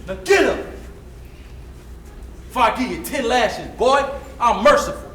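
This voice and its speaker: An actor plays a harsh slave overseer who lords it over the field hands. His very high, forceful voice barks commands in Southern dialect from far off on an echoey stage. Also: animated, a little slow, a little hiss or hum, full character voice. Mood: threatening. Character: harsh, superior enslaved overseer bossing field workers. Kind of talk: stage play acting.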